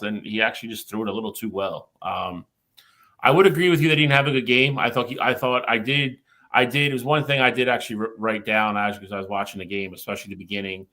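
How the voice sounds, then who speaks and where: A man with a fairly low voice and a bright, forceful tone talks through a game on a sports podcast. He is measured and hesitant, piling up fillers before landing his point. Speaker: podcast co-host. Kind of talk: casual sports discussion.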